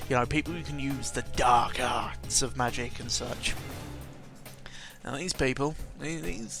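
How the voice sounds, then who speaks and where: Music plays clearly behind a man with a mid-pitched voice. He talks casually as a gaming vlogger, rambling and doubling back on himself, with jokey exaggerated emphasis. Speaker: video game vlogger. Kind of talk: casual rambling audio log commentary on games. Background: clear background game music.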